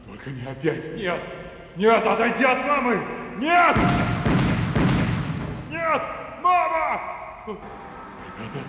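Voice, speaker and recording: A man's voice: sits high, bright, forceful tone, heavily acted. A man playing an anguished, pleading soldier captain in an analog horror drama. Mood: dazed, anguished. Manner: pleading, desperate. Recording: noisy cavernous hall with long echo.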